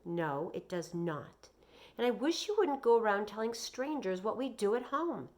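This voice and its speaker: A woman's voice: mid-range voice, sing-song, moderate pace, natural voice. A woman voicing a weary, exasperated mother in a storybook, scolding and defensive, with bitter emphasis.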